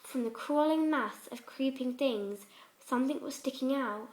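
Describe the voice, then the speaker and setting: A woman's voice: sits high, unhurried, darkish tone, poor audio. A woman reading fan fiction aloud as a dramatic scripted story narration.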